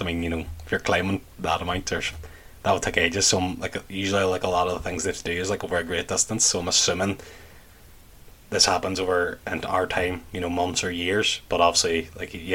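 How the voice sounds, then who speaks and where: A man's voice: sits low, fairly bright tone. A man taking part in a casual book discussion as a podcast panelist.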